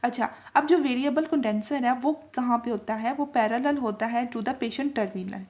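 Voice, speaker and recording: A woman's voice: on the high side, moderate pace, darkish tone. A woman lecturing informally, explaining step by step with much repetition, frequent check-in tags and English technical terms mixed in. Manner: switches between Urdu-Hindi and English technical terms. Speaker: lecturer in physiotherapy video lessons.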